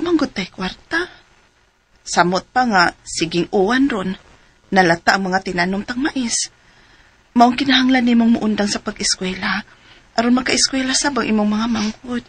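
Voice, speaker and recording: Husky, weary farm mother. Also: mid-range voice. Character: poor, weary farming mother of a teenage girl. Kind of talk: radio drama acting.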